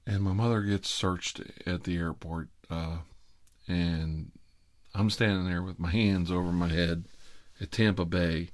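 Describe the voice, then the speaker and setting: A man's voice: low voice, steady tone, unhurried, no echo. A middle-aged man telling personal stories informally, in a rambling, wry and sardonic confessional way.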